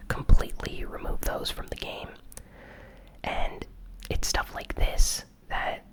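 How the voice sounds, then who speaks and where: Whispered ASMR gaming news host. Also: poor audio. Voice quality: soft unvoiced whisper. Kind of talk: whispered ASMR news recap with casual commentary.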